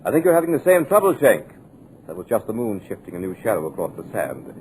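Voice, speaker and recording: An actor playing a curt, secretive and commanding producer in a 1940s radio drama. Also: male voice, on the low side, huge pitch swings, fast talker, a little mellow, a little hiss or hum, poor audio. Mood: cold. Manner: clipped, commanding, dismissive. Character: curt, sinister male producer, secretive and controlling.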